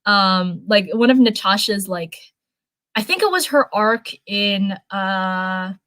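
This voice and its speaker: A woman's voice: medium pitch, expressive, slow, a bit bright, no room sound. A woman reading fan fiction aloud in a casual livestream style, with chatty, rambling asides. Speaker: live streamer reading fanfiction.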